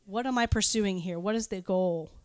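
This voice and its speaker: A woman's voice: mid-range voice, moderate pace, darkish tone, dry recording. A woman giving an informal sermon with personal anecdotes, talking in a conversational, self-deprecating and giggly way. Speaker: preacher speaking at a church gathering.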